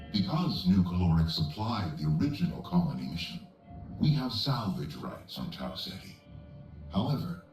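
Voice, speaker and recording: A man playing a grandiose, solemn corporate AI envoy in a video game, speaking formally with deliberate, portentous delivery. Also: sits low, echoey room, distant mic, exaggerated voice, poor audio. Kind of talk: scripted video game cutscene monologue.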